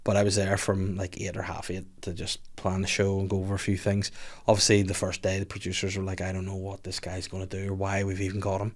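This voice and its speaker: A man's voice: low voice, completely flat delivery, quick pace, hardly any pauses. A man, hosting a casual podcast chat, joking and swearing freely. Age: 30s. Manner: jokey, swears freely, acts out quotes. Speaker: podcast host.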